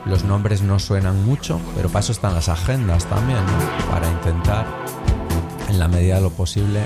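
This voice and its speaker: A middle-aged man with a Cantabrian Spanish accent, hosting a casual radio chat in a relaxed, slangy way. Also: low voice, normal speed. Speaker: radio host. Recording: music playing under the voice.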